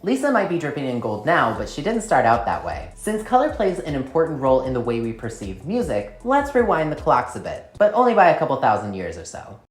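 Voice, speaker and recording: Animated man narrating in an echoey room. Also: mid-range voice, a little fast, hardly any pauses. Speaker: music video essay host. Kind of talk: scripted video essay narration.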